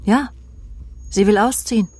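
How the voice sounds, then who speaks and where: A woman's voice: medium pitch, unhurried, a little mellow, breathy edge. An actress playing a cold, scheming friend in a radio crime drama, sardonic and matter-of-fact as she speaks and narrates. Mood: dismissive. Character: cold, scheming woman, spiteful friend, narrating her own deeds.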